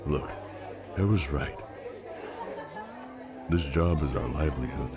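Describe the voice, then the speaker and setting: Guy playing a tired careful soldier type, super deep voice, understated, music and crowd behind him. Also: slow. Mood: resolute. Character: weary, cautious male operative, devoted new father. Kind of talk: naturalistic dramatic dialogue.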